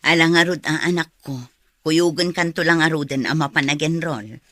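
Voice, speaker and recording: A woman's voice: sits low, steady tone, normal speed, fairly bright tone. An actress playing a caring, concerned middle-aged mother in a radio drama, with a Filipino accent. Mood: tender concern. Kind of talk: Ilocano radio drama acting.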